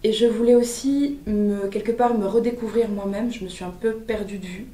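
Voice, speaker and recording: A woman's voice: mid-range voice, fairly even intonation, moderate pace, mellow. A woman of twenty-six, a civic service volunteer, speaking in a thoughtful, composed way. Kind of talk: personal testimonial. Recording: roomy distant recording, faint guitar.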